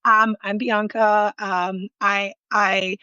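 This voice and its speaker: A woman's voice: mid-range voice, flat delivery, takes it slowly, bright, forceful tone, clear, resonant tone, dry recording, dull audio. A woman with an Australian accent, a guest talking casually in a live online interview, with many ums and likes and frequent small laughs. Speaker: guest, food illustrator. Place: apartment in a loud city, sirens outside. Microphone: remote live call.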